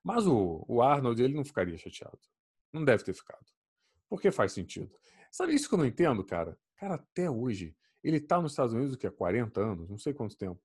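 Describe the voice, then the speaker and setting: A man swears his way through a casual podcast chat, his voice swinging widely up and down. The tone is husky and a little rough. He tells stories with lively energy. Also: mid-range voice, quick pace. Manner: animated, swearing, storytelling.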